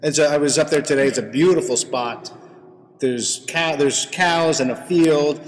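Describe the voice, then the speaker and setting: A man's voice: a little high, normal speed, a little hiss or hum. A man conducting an interview in a conversational way. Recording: reverberant hall-like room.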